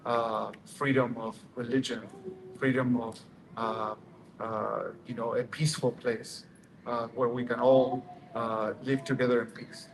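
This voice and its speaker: Halting man speaking over room noise. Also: mid-range voice, level tone, poor audio. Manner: halting, many uh fillers and restarts. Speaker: event speaker handing over the mic. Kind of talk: informal speech to an audience. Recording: noticeable background room noise.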